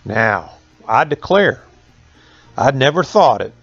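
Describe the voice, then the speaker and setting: A man's voice: medium pitch, very animated, takes it slowly, vocal fry, fairly bright tone, slightly rough, no echo, highs cut off, exaggerated voice. A man voicing an old country mill owner in a folk tale, plain-spoken and folksy, with rural turns of phrase. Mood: earnest.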